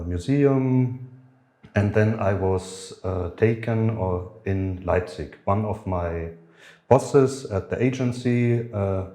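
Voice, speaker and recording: A middle-aged man, a podcast guest who talks in a halting way, full of fillers and restarts. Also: fairly low pitch, warm tone, reverberant. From Germany. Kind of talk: conversational podcast interview.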